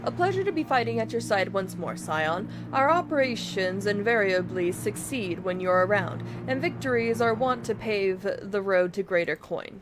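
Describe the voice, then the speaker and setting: A woman's voice: mid-range voice, animated, normal speed, hardly any pauses, natural voice. A woman reading a confident, formal military commander's briefing aloud from a fantasy video game. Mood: brisk, confident. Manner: formal, orderly, declarative. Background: video game music.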